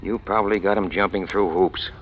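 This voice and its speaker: A man's voice: low-pitched, steady tone, fairly quick, slight background noise. An actor playing a hard-boiled, scheming small-time crook who narrates his own rise in clipped, wisecracking slang, in old radio noir style. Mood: needling. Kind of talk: 1940s-50s radio noir acting. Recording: dull old radio recording, music behind.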